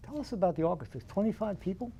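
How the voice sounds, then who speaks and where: A man's voice: high-pitched, animated, rapid-fire, warm tone, lo-fi sound, slight background noise. A man hosting a TV studio interview, encouraging his guests and often answering "that's great". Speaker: TV host.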